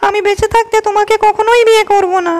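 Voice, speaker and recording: A woman voicing a proud, defiant young princess in a cartoon, speaking in a sharp, scornful way. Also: very high voice, little pitch movement, quick pace. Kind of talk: cartoon voice acting.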